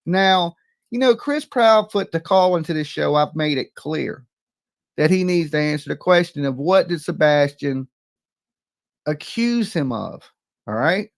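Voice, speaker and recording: A man with a somewhat high voice hosts a live call-in stream. He talks bluntly and confrontationally in colloquial, streetwise phrasing, and the sound is very dry. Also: lively intonation, measured pace, no echo. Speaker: live-stream host taking calls.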